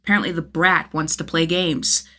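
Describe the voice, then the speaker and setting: A woman's voice: deep voice, steady tone, normal speed, bright, forceful tone. A woman voicing an angry, vengeful young man, impatient and menacing, in a story reading. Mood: irritated.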